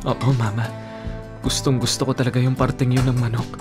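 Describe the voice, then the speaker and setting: An actor playing a sickly, hopeful child in a family radio drama, polite and tender, with a Filipino accent. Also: male voice, medium pitch, flat delivery, fairly quick, music in the background, lightly acted. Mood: happy, affectionate. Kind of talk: Tagalog radio drama acting.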